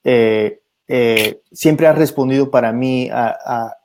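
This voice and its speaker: Middle-aged man, radio producer, reflective and halting, mid-pitched. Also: noisy background. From Peru, raised abroad. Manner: thoughtful, many fillers and restarts. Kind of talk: reflective conversation.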